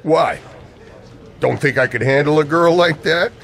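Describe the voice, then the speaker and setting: A man's voice: a little high, unhurried, creaky, a bit bright. An actor playing an edgy, secretive man in radio play dialogue, coy and evasive with an old friend. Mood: friendly, teasing. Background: music.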